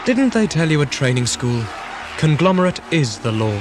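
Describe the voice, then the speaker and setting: A man's voice: a little high, sing-song, normal speed, music in the background. A man playing a ruthless, logical corporate starship captain in an audio drama, with formal, clipped, pedantic phrasing. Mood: scheming.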